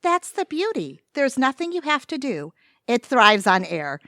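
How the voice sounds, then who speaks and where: A woman's voice: high-pitched, very animated, unhurried, pushed and bright, no echo, studio quality, full character voice. A woman playing an earnest, naively blunt young woman in a comedy audio drama, speaking in a precise, polite, upbeat way.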